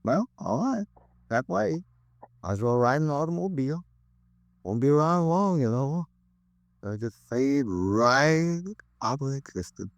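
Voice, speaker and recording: A man's voice: medium pitch, sing-song, unhurried, no room sound, highs cut off. An actor playing an eccentric, rambling crank who earnestly preaches doom in a 1950s radio drama, hesitating as he goes. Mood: grudging. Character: eccentric male crank author, rambling doomsday prophet.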